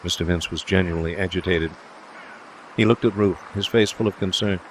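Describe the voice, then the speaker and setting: Low-voiced man narrating a story. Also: a little fast, a little hiss or hum, poor audio. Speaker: audiobook narrator.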